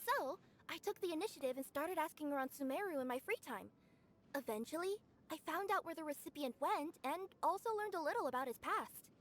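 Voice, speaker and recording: A woman's voice: high-pitched, lively intonation, a little fast, a little hiss or hum. A woman playing a friendly, conscientious young female courier in a video game, with an upbeat conversational manner. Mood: earnest.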